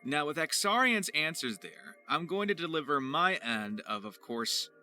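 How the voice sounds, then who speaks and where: A man who works as a voice actor, hosting an explainer video with deliberate, emphatic phrasing. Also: fairly high pitch, very animated, pushed and bright, a little hiss or hum. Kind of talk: scripted-sounding explainer narration.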